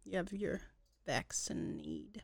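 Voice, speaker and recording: A woman's voice: lowish voice, huge pitch swings, slow pace, vocal fry, no echo, clean studio sound. A woman co-hosting a podcast in casual chat, telling stories in an upbeat, wry way. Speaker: podcast co-host.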